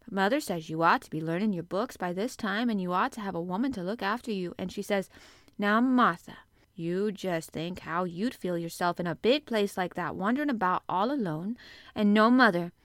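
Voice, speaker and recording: A woman's voice: medium pitch, normal speed, no room sound, clean studio sound, lightly acted. A woman voicing a cheerful young housemaid from a storybook, chattering and exclaiming in Yorkshire dialect. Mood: earnest, chatty.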